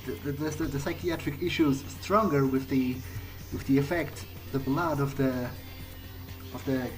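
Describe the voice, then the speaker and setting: A man playing a bewildered, newly turned vampire in a video game, musing earnestly to himself in halting, hesitant phrases. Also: medium pitch, steady tone, faint music behind, lightly acted, rough recording. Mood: pensive, unsure. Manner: halting, hesitant, searching for words. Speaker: video game player speaking in character. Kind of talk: in-character thinking aloud during a playthrough.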